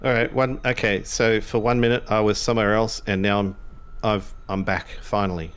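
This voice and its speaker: A man voicing a shocked, disoriented young man in a tabletop game, excitable and stumbling over his words. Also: fairly low pitch, normal speed, smooth, close to an everyday voice. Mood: bewildered. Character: shocked, bewildered young man returned from another world.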